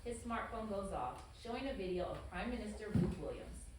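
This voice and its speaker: A woman's voice: medium pitch, animated, a little fast, far from the mic. A woman narrating stage directions from a script at a table read. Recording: very echoey room, faint noise.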